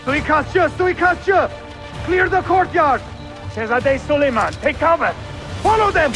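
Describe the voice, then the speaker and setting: A man's voice: extremely high pitch, animated, measured pace, over music. An actor playing an urgent, dutiful Ottoman palace guard in a video game. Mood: alarmed. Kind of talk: video game cutscene acting.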